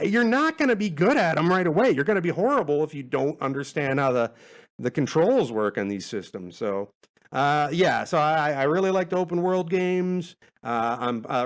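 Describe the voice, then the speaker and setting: A man, a podcast host, chatting in a casual, self-deprecating way as he rambles through answers to questions. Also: a little high. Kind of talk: casual rambling Q&A monologue, reading out listener questions.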